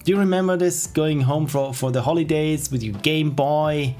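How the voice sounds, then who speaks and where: A middle-aged man with an Austrian accent, chatting casually and rambling as he edits on a livestream, with soft laughs and restarts. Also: on the high side, normal speed. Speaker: streamer. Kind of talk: casual livestream chat while editing. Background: faint music and keyboard typing.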